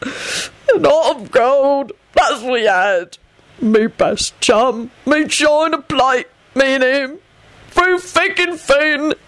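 A woman voicing a big, dim, bald male thug who talks in rough slang, by turns blubbering and menacing, in an audiobook story. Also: fairly low pitch, very animated, slow pace, a little mellow, no room sound, exaggerated voice. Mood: anguished, sobbing. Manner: rough uneducated slang, mumbling and bawling.

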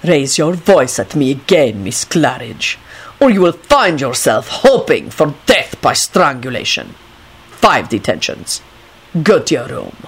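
A woman's voice: extremely low pitch, sing-song, unhurried, slightly rough, voice pushed down, exaggerated voice, rough recording. A woman voicing a cold, imperious male professor in an audiobook, with an icy, disdainful way of speaking.

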